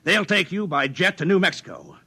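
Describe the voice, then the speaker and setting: A fat, aging, power-hungry boss in a 1950s radio play. The voice is husky and fairly high, with big sweeping swings of pitch. He is sardonic and domineering, relishing every cynical scheme. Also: huge pitch swings, fairly quick, bright, forceful tone, heavily acted. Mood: menacing. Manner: sardonic, commanding, theatrical. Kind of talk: 1950s radio drama acting. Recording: 1950s radio broadcast recording.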